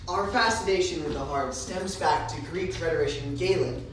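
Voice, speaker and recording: A man delivers a scripted stage recitation in a somewhat high voice. He sounds distant, in a strongly echoing room. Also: normal speed. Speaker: readers theatre performer. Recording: echoey room recorded from far off, faint noise.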